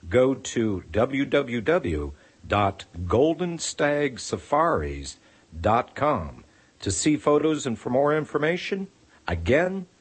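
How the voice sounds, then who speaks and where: A man reading a radio ad script in a measured, inviting way. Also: mid-range voice, slightly rough. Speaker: ad announcer.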